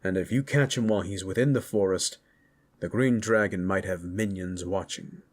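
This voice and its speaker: Man as wry fantasy adventurer, husky voice, casual, chatty, easygoing companion, audio drama. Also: deep voice, normal speed. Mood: practical.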